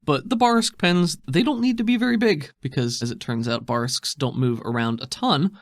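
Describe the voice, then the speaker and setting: A man's voice: fairly high pitch, moderate pace, no echo. A man narrating a tabletop roleplaying game as game master in a casual, conversational and descriptive way.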